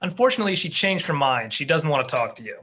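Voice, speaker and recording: A man acts out an eager, goofy husband in a comedy read. He is loud and quick, with a raspy voice and swinging intonation. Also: fairly high pitch, exaggerated voice. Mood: loud, smug. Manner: loud, animated. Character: loud, goofy husband relaying his wife's words, eager. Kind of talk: live table read comedy acting.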